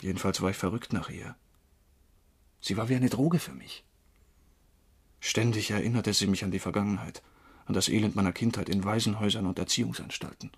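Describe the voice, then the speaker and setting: An actor playing a troubled former drinker, wrongly convicted, confessing haltingly in a crime radio drama, with a husky voice. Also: male voice, fairly low pitch, fairly even intonation. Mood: bitter, haunted. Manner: halting, brooding.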